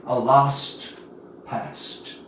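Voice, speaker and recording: A man in his seventies from Newfoundland reads his own literary tale aloud as its author, in a measured, expressive storytelling manner with dramatic pauses. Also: medium pitch. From Newfoundland, Canada. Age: 70s. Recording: roomy, distant mic, faint background noise.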